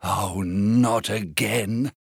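A woman's voice: rumbling low, extremely slow, gravelly, breathy edge, no room sound, studio quality, voice pushed down, exaggerated voice. A woman voicing a weary, worried old man pleading for help in a storybook reading. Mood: weary, dismayed. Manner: weary, anxious.

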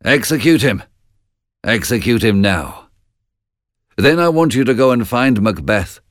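Guy doing a bossy storybook king, emphatic and decisive, lively pitch, dry sound. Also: unhurried, no echo. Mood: commanding. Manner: emphatic, imperious. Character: commanding male Scottish king Duncan, decisive ruler. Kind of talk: storybook narration.

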